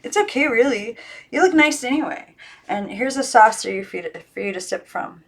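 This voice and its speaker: A woman voicing a lonely young girl who speaks plainly and gently, in a storybook reading. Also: on the high side, lively intonation, brisk, voice pushed up. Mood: tender, caring. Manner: plain, gentle, short answers. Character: Maggie, lonely young girl, tender and matter-of-fact.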